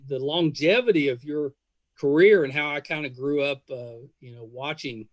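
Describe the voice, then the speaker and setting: A man hosting a casual podcast interview, speaking in a halting, rambling and effusive way. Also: a little high, no room sound.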